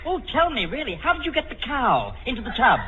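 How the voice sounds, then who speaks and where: A man's voice: very high voice, wildly swooping pitch, fast talker, exaggerated voice. An actor playing a silly, self-satisfied, flirtatious man with a pompous, gushing manner in 1940s radio comedy. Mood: gushing, curious. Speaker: comic panelist on a radio quiz spoof. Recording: echoing, noisy, muffled old radio broadcast.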